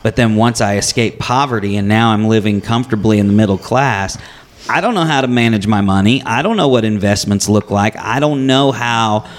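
A man hosting a local business radio show, with a somewhat low pitch for a man yet a voice he calls high. He talks in a chatty, animated way and often restarts his sentences as he warms to a point. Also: a little fast. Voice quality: fairly high speaking voice for a man. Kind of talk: casual interview.

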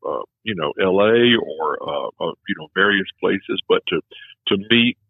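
Low husky-voiced man for a rambling anecdote, phone-quality audio. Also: lively intonation, normal speed, dry recording. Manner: rambling, many restarts, chuckles at his own stories. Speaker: former film festival executive director. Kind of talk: casual conversation. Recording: tinny phone-like sound.

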